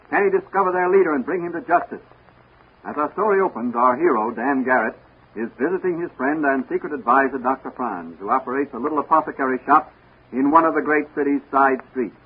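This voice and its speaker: Dramatic old-time radio announcer, male, highish voice. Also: slightly rough, narrow, tinny audio. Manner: dramatic, declamatory. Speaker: announcer and narrator. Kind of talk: 1940s radio serial narration, scripted. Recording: thin, noisy 1940s radio recording.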